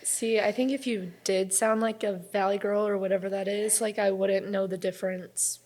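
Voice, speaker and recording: A young woman from North Carolina with a mild Southern accent, hosting a casual interview in a relaxed, agreeable way. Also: mid-range voice, moderate pace. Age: young adult. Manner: relaxed, easy laughter, agreeable back-and-forth. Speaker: interview host, college athlete.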